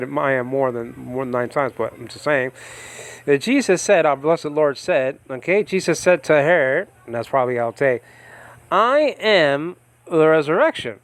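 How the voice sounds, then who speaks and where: A man from the United States, hosting an informal Bible study in a halting, rambling way and lifting his voice when he reads scripture aloud. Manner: rambling, halting, lifts pitch and volume when reading scripture. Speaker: Bible teacher and ministry host. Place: home in the Philippines.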